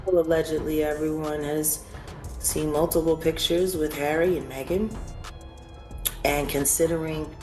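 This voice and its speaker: Very deep-voiced woman giving commentary. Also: level tone, a little slow, warm tone, faint music behind.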